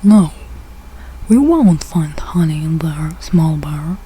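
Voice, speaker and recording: Slow, mellow woman reading a children's storybook. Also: low-pitched, expressive, slightly rough, rough recording. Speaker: read-aloud reader learning English. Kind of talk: slow read-aloud of a rhyming children's storybook.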